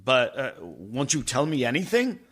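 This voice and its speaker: An actor in a radio play playing an earnest young doctor, narrating in a formal, deliberate way. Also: male voice, mid-range voice, huge pitch swings, fairly bright tone. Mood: anxious.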